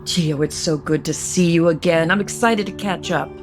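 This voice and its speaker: Actress as a lowish-voiced, protective soap opera mother. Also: normal speed, over music, natural voice. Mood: welcoming. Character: protective, meddling, well-off mother of a grown son. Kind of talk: naturalistic soap opera acting.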